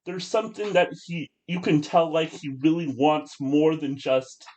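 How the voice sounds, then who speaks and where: A man's voice: on the high side, measured pace, a little mellow. A man co-hosting a podcast, chatting casually about films in a hesitant way, circling back and repeating his phrases. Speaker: podcast co-host. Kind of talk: casual film discussion chat.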